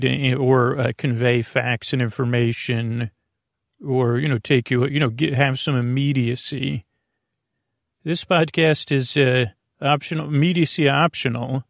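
A man hosting a bedtime podcast, talking in a lulling, creaky way and wandering off on meandering tangents. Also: medium pitch, no room sound, studio quality. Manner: meandering, tangent-prone, self-interrupting. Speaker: podcast host. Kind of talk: rambling bedtime podcast talk.

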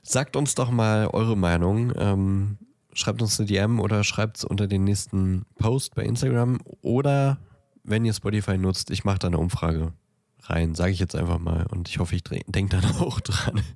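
A man's voice: fairly low pitch, steady tone, normal speed, creaky, a little mellow, no room sound, studio quality. A man co-hosting a podcast in casual, teasing, mock-serious banter. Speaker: podcast co-host. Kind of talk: casual banter between co-hosts.

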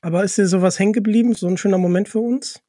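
A man's voice: high-pitched, steady tone, rapid-fire, warm tone, breathy edge, no echo, clean studio sound. A man hosting a podcast, chatting casually and putting questions to a guest. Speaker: podcast host. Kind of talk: casual interview chat.

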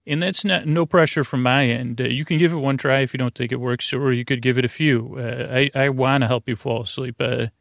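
A man with a gravelly, fry-heavy voice hosts a bedtime podcast. He speaks in a halting, meandering way, drifting from one tangent to the next. The sound is dry, with no room around it. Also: mid-range voice, fairly even intonation, a bit bright, dry recording. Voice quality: creaky, gravelly. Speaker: podcast host. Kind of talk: rambling bedtime sleep-podcast monologue.

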